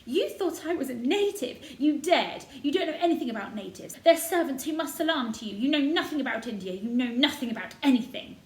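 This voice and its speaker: A woman's voice: high voice, expressive, a little fast, few pauses, reverberant, voice pushed up. A woman voicing an imperious, spoiled little girl with a haughty, demanding way of talking in a storybook. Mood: furious.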